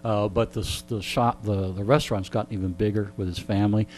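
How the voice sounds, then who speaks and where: A man's voice: on the low side, fairly even intonation, brisk, darkish tone, slight background noise. A man who has hosted a radio show, chatting casually as an interview guest and telling humorous stories in a wry, joking, folksy way. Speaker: radio host being interviewed.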